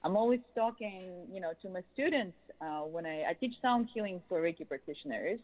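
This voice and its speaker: A woman in her thirties with a Russian accent, answering interview questions in loose, flowing, expressive phrases peppered with "you know". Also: on the low side, brisk, poor audio. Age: 30s. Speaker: guest, sound healer and musician.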